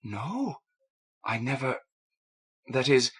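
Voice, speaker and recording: A man voicing a gentle, timid, warm-hearted young woman with an eager manner in an audiobook. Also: mid-range voice, sing-song, slow, slightly rough, pitched above the performer's own voice, exaggerated voice. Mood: nervous. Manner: eager, stammering when upset.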